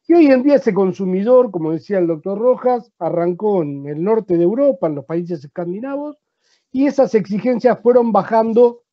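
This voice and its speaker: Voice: medium pitch, muffled recording. A speaker with an Argentine accent, a veterinarian giving a practical seminar presentation who explains step by step and asks the audience rhetorical questions.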